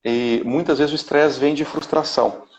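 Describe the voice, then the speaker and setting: A man's voice: fairly high pitch, fairly even intonation, normal speed, a little hiss or hum. A Brazilian man in his thirties, a vocal coach, talking in a casual live interview with many restarts and tag questions over a video call. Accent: Brazilian Portuguese. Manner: rambling, frequent "né?" tags and restarts. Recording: dull lo-fi live-stream audio.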